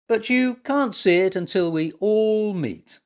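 A woman's voice: on the low side, very animated, slow pace, a little mellow, exaggerated voice. A woman voicing a boastful, dramatic little male monkey in a children's storybook.

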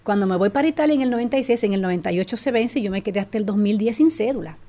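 Dominican woman, middle aged, telling her story in a chat, lots of restarts, animated, with a dominican spanish accent. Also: mid-range voice, very fast. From Dominican Republic. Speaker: guest. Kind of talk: casual chat.

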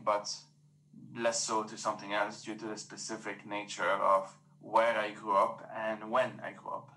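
A man's voice: fairly low pitch, sharp tone, a little hiss or hum, poor audio. A man from Lebanon hosting an interview, asking rambling, hesitant questions. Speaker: podcast host. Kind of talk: casual interview talk.

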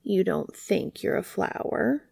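A woman voicing a curious, gentle, devoted male bee in a storybook reading, soft and earnest. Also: medium pitch, expressive, unhurried, vocal fry, breathy edge, no room sound, heavily acted. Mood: curious, polite.

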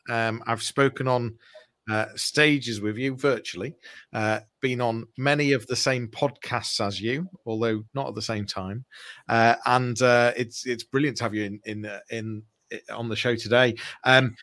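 A man's voice: medium pitch, a bit bright. A man hosting a marketing podcast, presenting to listeners and interviewing a guest. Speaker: podcast host and digital marketer. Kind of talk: scripted intro and live interview hosting.

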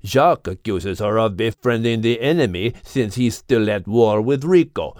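A man voicing a restless but polite henpecked bull in a storybook, with a Spanish accent and a slow grumbling delivery. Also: lowish voice, expressive, a little mellow, no echo, clean studio sound, lightly acted. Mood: polite, chatty.

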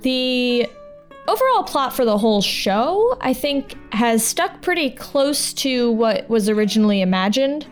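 A woman's voice: on the high side, sing-song, measured pace. A woman hosting a podcast, talking in a chatty, informal and reassuring way. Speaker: podcast host and audio drama producer. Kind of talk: casual podcast talk over music. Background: plucked guitar music.

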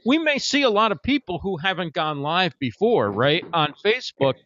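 Higher-voiced male livestream host. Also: big pitch swings, bright, forceful tone. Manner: brisk, affirming interviewer. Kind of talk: livestream interview hosting. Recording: dry, dull lo-fi livestream audio.

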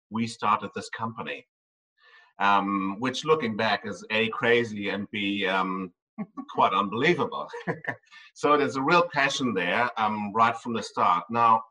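A man's voice: on the low side, sharp tone, far from the mic, low-quality recording. A man who grew up in Ireland and Germany, a tour company owner giving loose, rambling interview answers full of ums.

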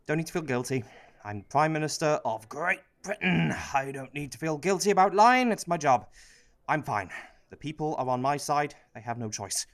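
An actor playing an anxious, guilt-ridden Prime Minister in a satirical radio play, whiny and flustered. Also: male voice, a little high, expressive, normal speed, fairly bright tone. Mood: guilty, flustered.

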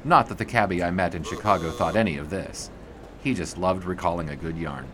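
An actor playing a polite, earnest 1920s sports writer with an American accent, speaking in a measured, formal way as in a dramatized memoir. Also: male voice, low-pitched, very noisy, close to an everyday voice. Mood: reflective, grand. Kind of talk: dramatized scripted narration.

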